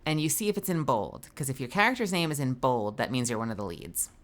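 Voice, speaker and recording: A woman voice actor streaming games, chatting casually and telling a story with her low voice, swearing freely. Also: animated, quick pace. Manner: swearing, storytelling, loud bursts of disbelief. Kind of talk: casual gaming stream chat.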